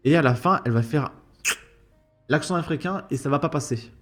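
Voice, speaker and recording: A man's voice: fairly high pitch, a little fast, fairly bright tone, soft background music. A man giving an opinion commentary, indignant and full of rhetorical questions. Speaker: YouTube commentator.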